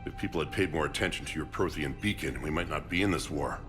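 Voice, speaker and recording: Very deep-voiced authoritative research doctor. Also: male voice. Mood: urgent conviction. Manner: measured, assured, explanatory. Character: middle-aged male research doctor, driven and authoritative. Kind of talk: video game voice acting. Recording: clear music behind the voice.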